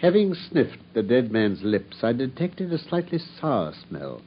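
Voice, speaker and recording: An actor playing a coolly brilliant detective, explaining his deductions in a precise, measured way. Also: mid-range voice, sing-song, a little hiss or hum. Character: coolly brilliant, self-assured male detective. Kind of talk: 1950s radio drama acting. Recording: dull lo-fi old radio broadcast.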